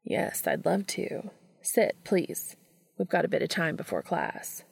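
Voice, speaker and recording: Woman as male professor, friendly, soft, polite, gravelly edge, storybook reading, dry close sound. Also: fairly low pitch, very animated, a little slow, no room sound, full character voice. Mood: pleased, welcoming.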